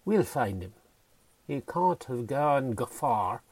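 A man playing an assured Victorian police inspector in a radio play, with a brisk, official manner. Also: mid-range voice, very animated, a little mellow, slightly rough, heavily acted. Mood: businesslike, grim.

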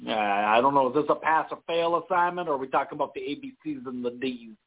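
A man's voice: mid-range voice, quick pace, natural voice. A man playing a sweaty, self-important showbiz consultant who rambles through restarts and garbled sayings. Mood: rambling. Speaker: guest. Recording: tinny phone-like line.

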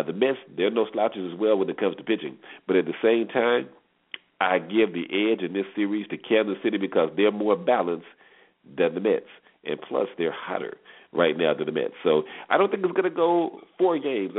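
A man hosting a sports radio show, giving conversational commentary and game previews over muffled, narrow-band audio. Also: lowish voice.

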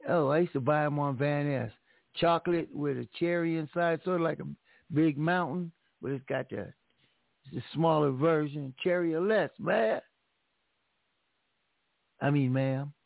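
A man's voice: on the high side, unhurried, vocal fry, pauses often, dry recording. A man hosting a casual radio chat, joking playfully and repeating his phrases for effect. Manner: playful, repeats phrases and old-fashioned mock exclamations. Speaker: radio show host. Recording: tinny phone-like line.

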